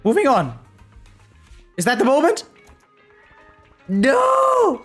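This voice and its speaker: A woman's voice: sits high, wildly swooping pitch, slow pace, a bit bright. A woman game streamer chatting casually and reacting live, in an informal way. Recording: game music behind voice.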